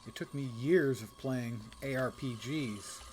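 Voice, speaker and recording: A man's voice: medium pitch, a little slow, darkish tone, faint music behind. A man casually commentating his video game live as a streamer, talking to himself in a wry way.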